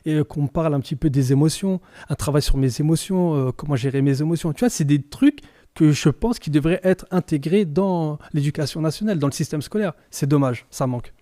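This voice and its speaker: A man's voice: a little high, fairly quick, dark tone, dry recording, studio quality. A young man from France, talking casually as a podcast guest in an informal, slangy way and often repeating words while he searches for them. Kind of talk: casual interview chat.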